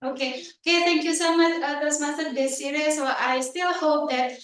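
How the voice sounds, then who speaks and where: Host, female, high and chatty, distant echoey room sound. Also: steady tone, normal speed, a bit bright. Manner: thanks guests by name, loose and chatty. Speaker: meeting host. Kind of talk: closing remarks at a club meeting. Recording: echoey room, far from the mic.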